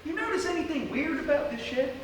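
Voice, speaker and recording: An American man acting as an excitable, dim-witted rural deputy in live comedic radio theatre, with folksy, stammering exclamations. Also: extremely high pitch, fast. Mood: puzzled. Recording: echoey live theatre, far mic, faint noise.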